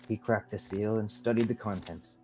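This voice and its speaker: A man's voice: fairly low pitch, steady tone, normal speed, darkish tone, soft background music, rough recording. A young man reading scripted narration for a radio theatre play. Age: young adult.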